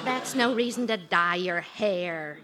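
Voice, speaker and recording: Fed up scolding wife, bright voice up and down a lot, old radio sitcom. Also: female voice, lowish voice, lively intonation, takes it slowly, full character voice. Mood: exasperated. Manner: exclaiming, scolding. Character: exasperated no-nonsense middle-aged wife, scolding and soothing. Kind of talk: old-time radio situation comedy acting.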